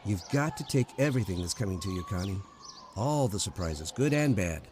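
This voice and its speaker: A man's voice: sits low, animated, fairly quick, darkish tone, slight background noise. An actor playing a breezy, blunt, wisecracking man in an old-time radio drama. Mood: blunt, challenging.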